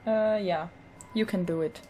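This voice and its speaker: A woman hosting a casual let's play, commenting in a hesitant, low-key way. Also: a little slow, mellow, slight background noise. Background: video game ambience.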